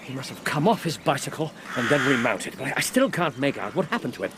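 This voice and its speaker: A keen, self-assured English detective, played by a man in a radio play. His pitch swings widely as he thinks aloud, lectures and exclaims. Faint noise of the surroundings sits behind him. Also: sing-song, quick pace, close to an everyday voice. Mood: excited, urgent. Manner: didactic, thinks aloud, exclaims and repeats himself. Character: brilliant, brisk male detective, keenly absorbed and self-assured. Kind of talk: British radio drama acting.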